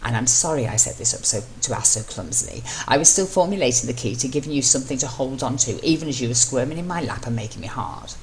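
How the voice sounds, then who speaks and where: Woman voicing a low, intense, confident man. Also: fast talker, hardly any pauses. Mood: gruff, coaxing. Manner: calm, steady, gruff. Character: intense, confident man, coaxing and commanding husband. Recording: noisy amateur home recording.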